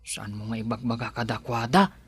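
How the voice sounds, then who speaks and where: A man playing an earnest young husband and son in a radio drama, with a bright, forceful voice. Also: medium pitch, steady tone, a little fast, husky, no room sound. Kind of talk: Ilocano radio drama acting.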